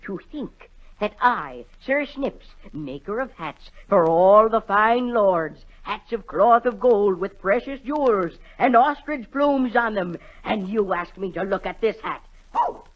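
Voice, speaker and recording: Pompous, slow, fussy hat maker. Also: female voice, mid-range voice, expressive. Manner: pompous, self-important, sputtering. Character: haughty, fussy male royal hat maker. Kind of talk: 1940s radio acting. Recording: dull vintage 1940 radio recording.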